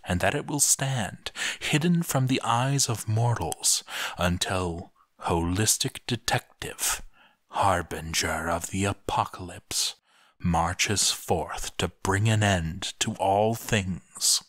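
A man's voice: low voice, slow, a bit bright, dry recording, clean studio sound. A man giving a dramatic scripted narration in a solemn, portentous manner.

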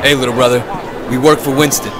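An actor as a terse, tough young gangster. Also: male voice, medium pitch, fairly even intonation, fast talker, crowd noise, close to an everyday voice. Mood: firm. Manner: terse, firm. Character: tough, terse young male triad enforcer. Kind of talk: video game dialogue acting. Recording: game audio with music and street bustle.

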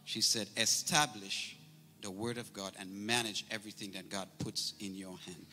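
A middle-aged man born in Trinidad giving a memorial tribute at a church service, speaking into a handheld mic, telling warm stories. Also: lowish voice, moderate pace. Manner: warm storytelling, grows animated and laughing. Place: large church sanctuary. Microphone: handheld microphone. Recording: reverberant church hall, faint noise.

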